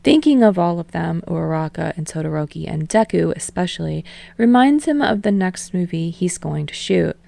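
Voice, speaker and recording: A woman reading fan fiction aloud, her voice somewhat low with plenty of vocal fry. The narration is casual and even, on a dry, close recording. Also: normal speed, no room sound. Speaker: podfic reader.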